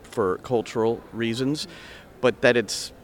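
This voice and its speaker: A man interviewing a coach, asking long questions with hesitant restarts. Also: medium pitch. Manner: halting, searching questions with restarts.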